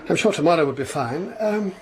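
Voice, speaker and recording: An actor playing a chatty, hesitant schoolmaster in a radio drama. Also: male voice, on the high side, lively intonation, fairly quick, darkish tone, lightly acted. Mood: eager. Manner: chatty, hesitant, laughing nervously. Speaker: second master at a school. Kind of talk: BBC radio drama acting. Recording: noisy radio drama recording.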